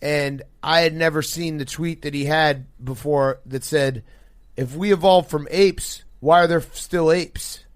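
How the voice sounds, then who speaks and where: A man's voice: a little high, unhurried, clean tone, no room sound, clean studio sound. A man hosting a casual sports comedy podcast, joking and swearing freely. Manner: profane, joking. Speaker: podcast host.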